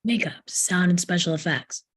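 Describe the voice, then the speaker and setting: A woman reading the part of a bossy, crude young teenage boy in a screenplay table read, with a husky voice and a blunt, pushy manner. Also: fairly low pitch, moderate pace.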